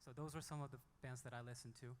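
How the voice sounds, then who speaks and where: Man in a reverberant hall, halting. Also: medium pitch, fairly even intonation. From South Korea. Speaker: indie singer-songwriter on a panel. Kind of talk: unscripted panel talk. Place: hall at a music conference panel. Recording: echoey hall with long reverb.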